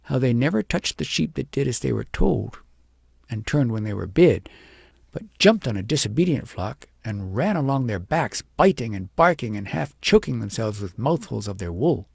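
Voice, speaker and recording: A man with a husky, gravelly voice reading an audiobook story in a measured, expressive storytelling manner. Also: mid-range voice, dry recording, studio quality. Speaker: audiobook reader. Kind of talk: scripted storybook narration.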